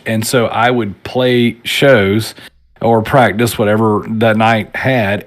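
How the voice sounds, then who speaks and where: A man in his late thirties, a podcast guest, telling rambling stories in casual chat. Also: on the low side. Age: 30s. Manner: relaxed, rambling storyteller.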